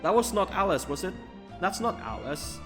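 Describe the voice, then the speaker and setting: Reverberant, halting man commenting over gameplay. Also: high-pitched, sing-song. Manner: halting, muttering, repeats himself. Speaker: gameplay streamer. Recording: long reverb, game music behind.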